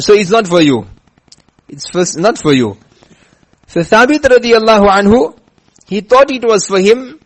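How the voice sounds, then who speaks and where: A man with a rather high voice delivers a religious talk. He slows down to stress his lessons and tells a story as he goes. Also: low-quality recording. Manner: emphatic, slows down to stress points. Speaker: preacher. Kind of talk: religious lecture with chanted scripture.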